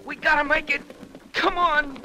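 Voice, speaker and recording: An actor playing a plucky, determined teenage Pony Express rider in a 1930s radio drama. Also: male voice, extremely high pitch, fairly even intonation, moderate pace, noisy background. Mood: urgent, shouting. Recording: old 1930s radio recording, music behind.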